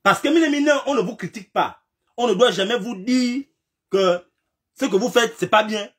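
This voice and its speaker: A man with a slight cold giving an impassioned political commentary, repeating himself and firing rhetorical questions, now and then rising to a shout. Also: high voice, normal speed.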